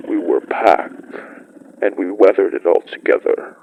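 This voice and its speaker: Casting: male actor for primeval wolf elder, horror fiction podcast. Grave, poetic, slow delivery with a heavy creaky rasp. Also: sits low, fairly even intonation, phone-quality sound, a little hiss or hum. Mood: ominous, awed. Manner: solemn, measured, poetic. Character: ancient great wolf-like beast, solemn pack elder, recalling primeval winters. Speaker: storyteller. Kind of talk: scripted dramatic monologue, horror fiction podcast.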